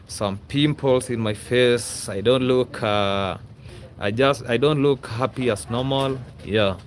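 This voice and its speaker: A man's voice: mid-range voice, a little hiss or hum. A man with a Kenyan accent hosting a casual livestream, rambling and repeating himself, often adding a questioning "yeah?" to his sentences. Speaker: YouTuber, livestream host. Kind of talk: casual livestream chat to viewers.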